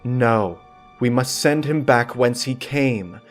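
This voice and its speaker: A man's voice: medium pitch, takes it slowly, faint music behind, close to an everyday voice. A man voicing a blunt, reproachful female alicorn princess in a comic storybook. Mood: rebuking.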